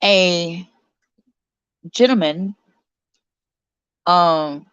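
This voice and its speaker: A chatty woman in her forties talks to her live-stream viewers from a cold bedroom, a little stuffed up from her sinuses. Her voice sits low and smooth. The sound is close and dry, and a bit dull. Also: very slow, fairly bright tone, dry recording. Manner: chatty, reads out viewer comments. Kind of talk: casual live stream chat. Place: cold bedroom under a blanket.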